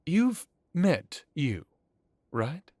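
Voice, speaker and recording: A man playing an ancient, courtly vampire lord in an audio drama, calmly authoritative, with formal, genteel phrasing. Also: fairly high pitch, drawn-out words, no echo, clean studio sound, heavily acted. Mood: wry.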